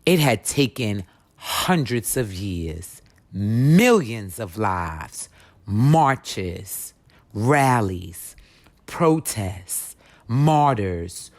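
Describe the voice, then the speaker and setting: A woman reading a history book for children aloud as expressive storytelling, with deliberate, emphatic, dramatic phrasing. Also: a bass voice, dry recording.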